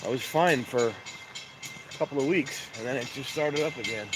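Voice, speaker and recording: A man's voice: medium pitch, moderate pace, over music, rough recording. A man with a stuffed-up nose chatting casually as a train-spotting vlogger outdoors at a railroad crossing, filming on a camera mic. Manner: rambling, chatty, easygoing. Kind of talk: casual live-stream chat. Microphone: handheld camera mic, wind noise. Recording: loud traffic and outdoor noise.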